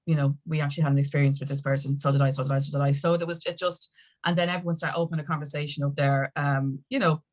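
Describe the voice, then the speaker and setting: Low-voiced Irish woman telling her story. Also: fairly even intonation, rapid-fire, darkish tone. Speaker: podcast guest, music industry activist. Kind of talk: casual conversational storytelling.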